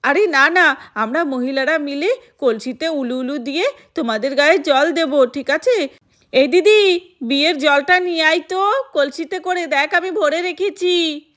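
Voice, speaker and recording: A woman's voice: high-pitched, a little slow, fairly bright tone. A woman voicing a cheerful, bossy young sister-in-law in a doll play, eagerly coaxing everyone along. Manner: eager, coaxing, repeats urging words.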